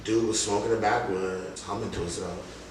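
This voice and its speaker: A man's voice: lowish voice, fairly even intonation, normal speed. A young man from Columbus, Ohio, telling stories in a casual, slangy, reflective way as a music artist being interviewed. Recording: echoey room, distant mic, noisy background.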